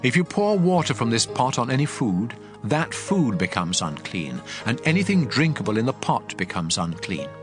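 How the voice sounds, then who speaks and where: A man playing a solemn, commanding God, reading scripture laws in a measured, emphatic way over music. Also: mid-range voice, very animated, natural voice. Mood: solemn, instructive. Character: God speaking law, solemn, commanding male deity.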